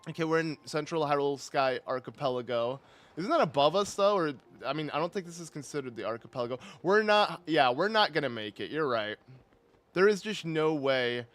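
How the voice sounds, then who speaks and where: A man's voice: on the high side, lively intonation, a little fast, a bit bright. A young man casually commenting on a live game stream, rambling and correcting himself with little chuckles into a desk microphone.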